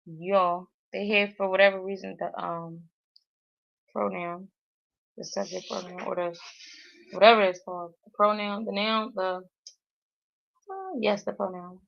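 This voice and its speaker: A woman's voice: medium pitch, little pitch movement, takes it slowly, gravelly, pauses often, fairly bright tone. A woman, learning Spanish on a livestream and thinking aloud, hesitant and full of self-corrections. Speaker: Spanish learner streaming a daily challenge. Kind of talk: thinking aloud while working through a language app. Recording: dull lo-fi stream audio.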